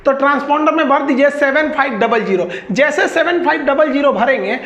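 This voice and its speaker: A woman with a Bihari accent gives an informal lecture in a high voice. She explains in colloquial, everyday terms like a teacher talking to a class. The sound is echoey and a little dull. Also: fairly quick. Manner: colloquial, explains with everyday examples and acted-out exchanges.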